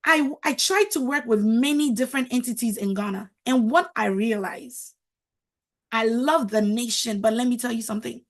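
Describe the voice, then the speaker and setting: A woman's voice: fairly high pitch, normal speed. A middle-aged woman whose first language is French, giving a motivational talk as a marriage coach, emphatic and preachy, repeating words for stress.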